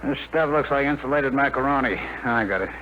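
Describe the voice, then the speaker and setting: An actor playing a slangy, skeptical, wisecracking rocket technician in a 1950s radio drama, on an old broadcast recording. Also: male voice, medium pitch, brisk, lo-fi sound, natural voice. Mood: joking. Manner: slangy, wry. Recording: hissy 1950 radio broadcast.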